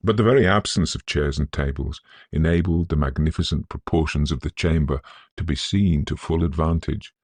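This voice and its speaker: A man narrating a Victorian ghost story in a scholarly, theatrical manner with measured phrasing. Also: very deep voice, a little mellow, breathy edge, no echo.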